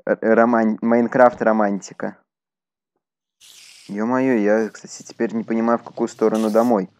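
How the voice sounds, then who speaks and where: A man's voice: lowish voice, little pitch movement, normal speed, darkish tone. A man doing casual, chatty let's play commentary, talking to his viewers as he plays. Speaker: gaming YouTuber.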